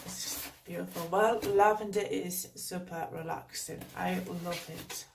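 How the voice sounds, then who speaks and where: A woman with a Geordie accent, chatting casually through a beauty box unboxing at home, her voice croaky from a cold and her talk rambling with lots of fillers. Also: lowish voice, steady tone, measured pace, recorded from a distance, background noise. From North East England. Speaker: beauty vlogger.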